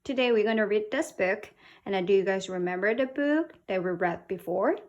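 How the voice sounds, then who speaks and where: A woman from South Korea reading a picture book to young children as a teacher, with simple, expressive talk and questions. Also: medium pitch, brisk, muffled recording. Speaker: children's English read-aloud teacher.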